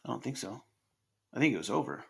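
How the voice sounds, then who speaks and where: A man's voice: mid-range voice, sing-song, fast talker, gravelly, a bit bright, breathy edge. A man co-hosting a podcast, blunt and teasing in casual chat. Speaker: podcast co-host.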